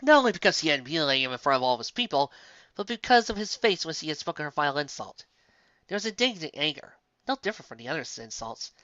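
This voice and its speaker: A man's voice: on the high side, big pitch swings, fast talker, pushed and bright, no echo, lo-fi sound. A man reading a fantasy story aloud in a theatrical, dramatic way. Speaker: narrator, reader. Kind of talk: expressive amateur fan fiction reading.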